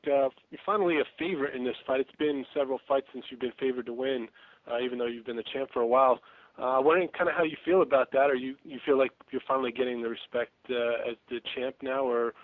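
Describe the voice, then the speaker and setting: A man's voice: medium pitch, steady tone, quick pace, no echo. A man asking conversational questions as a sports reporter on a conference call. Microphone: telephone. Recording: narrow muffled phone conference line.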